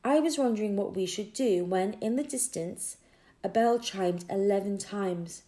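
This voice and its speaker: A woman narrating a children's audiobook expressively, with deliberate storytelling phrasing. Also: medium pitch, warm tone. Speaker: audiobook reader.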